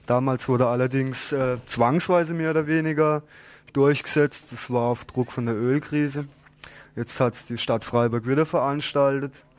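A man hosting an unscripted radio interview, talking informally and a little haltingly as he presents and asks questions. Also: medium pitch, fairly even intonation.

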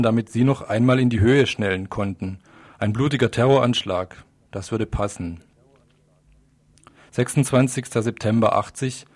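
A man delivering a scripted, polemical political radio commentary with a sardonic edge. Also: mid-range voice.

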